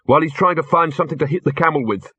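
A man's voice: a little high, racing, bright, forceful tone, husky, no room sound, muffled recording, pitched above the performer's own voice, heavily acted. Man playing a dry, practical young man. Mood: grim, irritated. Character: wry, practical young man, impatient straight man. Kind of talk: audiobook character voice.

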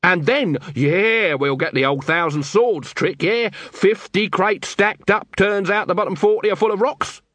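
A man reading an audiobook, voicing a scrawny, sly male watchman who bluffs and talks fast. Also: sits high, a bit bright, no room sound, pitched above the performer's own voice. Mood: brash bluffing. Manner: brash, fast-talking, bluffing.

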